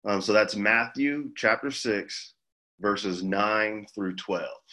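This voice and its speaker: Guy pastor doing a casual bible talk, slowish and halting, lowish voice. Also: bright, forceful tone. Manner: measured, halting, pauses mid-phrase. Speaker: pastor leading a church group. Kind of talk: informal sermon and Bible teaching.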